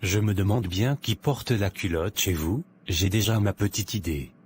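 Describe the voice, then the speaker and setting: A man playing an irritable, self-assured and covetous married man in an animated drama, with a dismissive, grumbling manner. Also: lowish voice, moderate pace, a little hiss or hum, lightly acted. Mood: mocking, irritated. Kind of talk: scripted animated drama dialogue.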